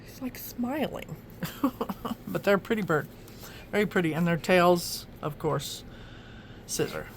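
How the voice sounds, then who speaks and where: A woman's voice: on the low side, lively intonation, a little slow, pauses often, slight background noise. A woman from Oklahoma chatting casually as she flips through her journal, rambling with lots of ums and restarts. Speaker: journaling vlogger.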